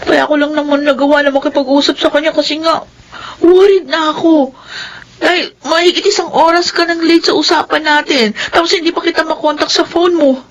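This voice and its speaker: Soap drama casting: woman playing anxious, evasive sweetheart, very high-pitched, flustered, placating. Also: steady tone, brisk, low-quality recording. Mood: confessing, worried. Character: anxious, evasive young sweetheart making excuses. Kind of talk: audio soap drama acting.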